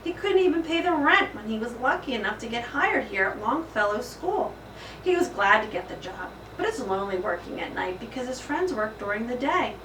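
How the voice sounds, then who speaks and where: A woman with a bright, forceful voice reads a children's story with dramatic emphasis. She sounds some way from the mic in an echoey room, with faint noise. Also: a little high, expressive, fairly quick, far from the mic. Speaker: school principal reading aloud. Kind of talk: expressive children's storybook narration.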